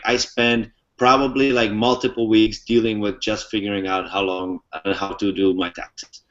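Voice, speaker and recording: A man's voice: fairly low pitch, fairly bright tone. A young man from the Czech Republic, an interview guest, explaining things fluently step by step with many "um"s. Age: young adult. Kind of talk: casual explanatory talk. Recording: muffled lo-fi recording.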